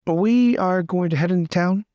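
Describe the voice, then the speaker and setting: A man's voice: high-pitched, moderate pace, mellow, dry recording, clean studio sound. A man playing a tabletop roleplaying game, chatting and switching between narration and in-character dialogue.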